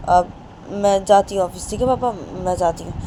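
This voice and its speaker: A woman's voice: medium pitch, fairly quick, lightly acted. A woman voicing a young woman who curtly tells her father she is leaving for the office. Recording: noisy recording.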